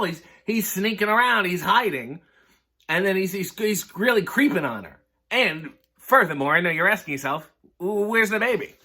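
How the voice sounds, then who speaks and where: A man hosts a comic read-aloud of a children's book in a high, bright voice. His delivery swings widely in pitch. He keeps stepping out of the story with wry, mock-concerned commentary.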